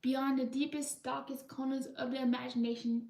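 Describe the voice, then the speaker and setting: An ominous spooky ride announcer, recited by a woman in a slow, solemn way, with a high voice. The sound is roomy and echoey, picked up from a mic some distance away. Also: level tone, gravelly, darkish tone. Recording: roomy echo, mic far off.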